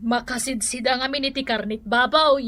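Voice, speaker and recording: A woman playing a sharp-tongued, complaining older mother with an Ilocano accent in a radio drama. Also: high-pitched, steady tone, normal speed.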